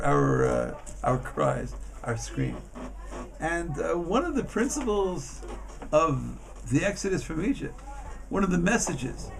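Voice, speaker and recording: Older-style torah class from a rabbi guy, really creaky voice, a bit high, keeps repeating words, faint noise. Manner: halting, repeats words, emphatic. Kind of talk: informal religious lesson.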